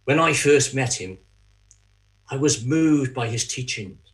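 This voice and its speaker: Remorseful betrayer, played by a man, mid-range voice, very measured pace. Anguished, halting confession for a church drama. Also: mid-range voice, lively intonation, a bit bright. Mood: reflective, confessional. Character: Judas, remorseful betrayer haunted by guilt, pleading. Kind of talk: dramatic monologue in a church reading.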